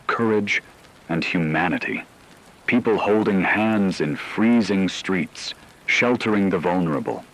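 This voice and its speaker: Man acting as a solemn doomsday radio broadcaster, low-pitched, unhurried, reflective, apocalyptic radio drama. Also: fairly bright tone, exaggerated voice, poor audio. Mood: solemn, serene. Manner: measured, reflective, solemn delivery. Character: solemn male radio broadcaster facing world's end.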